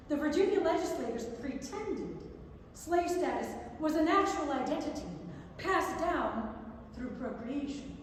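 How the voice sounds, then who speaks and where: Woman reading aloud, distant in an echoing hall. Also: sits high. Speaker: reader at a staged reading. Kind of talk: staged reading of non-fiction prose. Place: large indoor theatre. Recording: echoey theatre hall from far off, noisy.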